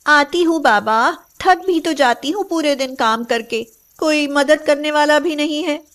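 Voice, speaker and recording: Woman acting a high-voiced, smug, greedy village wife. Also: measured pace, fairly bright tone. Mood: complaining. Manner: complaining, gloating. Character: greedy, smug, mocking wife of a rich farmer. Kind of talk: moral story cartoon dialogue.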